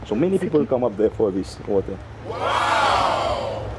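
Man, middle-aged, tour guide, halting and helpful, lively pitch, noisy background. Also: a loud crowd. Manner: helpful, halting, simple phrasing. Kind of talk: casual chat. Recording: loud background noise.

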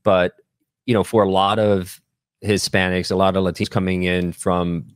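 Male podcast host, 40s, low register, conversational, close dry studio sound. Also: little pitch movement, moderate pace, clean tone, no room sound. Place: small podcast studio at a university. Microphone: close studio microphone on boom arm.

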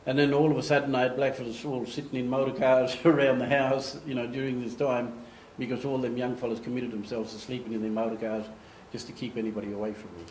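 An older man from Australia, giving an animated storytelling talk at a lectern in a meeting room. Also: medium pitch, quick pace, hardly any pauses, slight background noise. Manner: animated, gestures, acts out quoted voices. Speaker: speaker giving a slide talk. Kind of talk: storytelling presentation. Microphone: far camera. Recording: echoey room, faint ambience.

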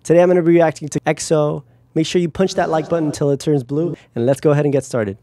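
Man in his thirties from Guatemala, home-studio reaction host, close boom mic, mellow tone, gushing and upbeat. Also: steady tone, fast, no room sound. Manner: enthusiastic, effusive praise. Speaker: music producer reacting to videos. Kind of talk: casual reaction vlog. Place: small home studio room. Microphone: headphones, close mic on boom arm.

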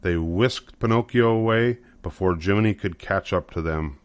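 A man's voice: deep voice, normal speed, no echo. An older man reading a children's story aloud as scripted narration. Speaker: storybook reader.

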